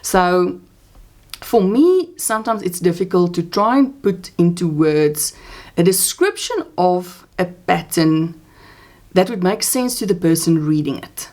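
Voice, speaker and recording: A woman's voice: on the low side, animated, unhurried, darkish tone. A woman whose first language is Afrikaans, chatting casually as host of her crochet channel, rambling with fillers and restarts. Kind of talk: casual crafting chat.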